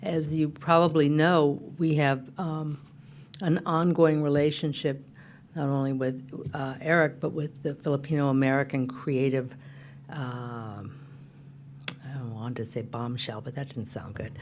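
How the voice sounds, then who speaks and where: A woman's voice: extremely low pitch, steady tone, unhurried, a little mellow, slight background noise. A woman hosting an event, giving an informal, hesitant welcome with joking asides to an audience. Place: public event venue.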